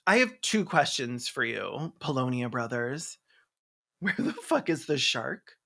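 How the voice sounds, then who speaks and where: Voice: mid-range voice, very animated, slow, creaky, a bit bright. A video host delivering sarcastic scripted commentary in a theatrical, mocking manner. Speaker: host of a comedic film review.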